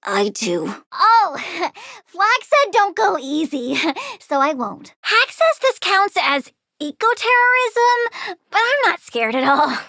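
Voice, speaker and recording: A very high-pitched, girlish plant villain for a video game. She is bratty and morbidly curious, blunt in a playful, flippant way. Her pitch swings in lively arcs, and the sound is dry and close. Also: takes it slowly, no room sound, heavily acted. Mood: sly threat, then excited. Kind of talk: video game character voice lines.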